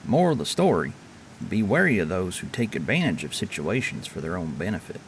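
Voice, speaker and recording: A man's voice: low-pitched, big pitch swings, a little fast, a little hiss or hum, poor audio. A man performing as a storyteller, giving theatrical scripted narration.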